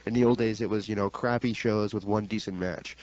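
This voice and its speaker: A man's voice: lowish voice, fairly even intonation, a little fast, a little mellow, lo-fi sound. A man co-hosting a talk show, giving casual, opinionated and explanatory wrestling commentary.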